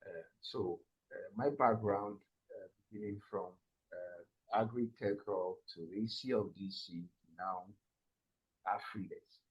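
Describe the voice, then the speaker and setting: Male guest from Nigeria, husky and measured, lo-fi remote audio. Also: lowish voice. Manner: measured, frequent "uh" fillers. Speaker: guest, summit president. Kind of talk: interview answers.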